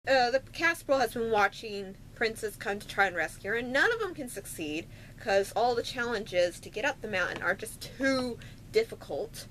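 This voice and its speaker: A woman's voice: on the high side, expressive, normal speed, fairly bright tone, slight background noise, rough recording. A woman chatting casually and unscripted as a vlogger, retelling stories in a playful, slangy way with frequent asides. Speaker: vlogger recounting favourite fairy tales. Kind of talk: casual unscripted chat to camera.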